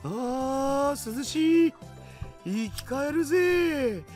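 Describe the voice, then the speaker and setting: High-voiced geeky sidekick guy. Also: animated, slow pace, a little mellow, exaggerated voice. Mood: relieved. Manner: slangy, teasing otaku talk. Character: laid-back geeky overweight young male hacker sidekick. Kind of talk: Japanese visual novel voice acting. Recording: game music under the voice.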